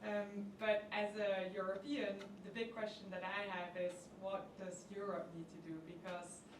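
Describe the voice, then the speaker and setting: Audience woman from Belgium, monotone, distant in an echoey hall with clear noise. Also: medium pitch, normal speed. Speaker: audience member asking a question.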